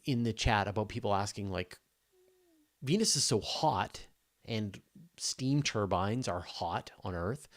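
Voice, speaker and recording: A man hosting a casual live question-and-answer session, explaining things step by step in an enthusiastic, conversational way. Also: medium pitch. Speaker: host of a live astronomy Q&A.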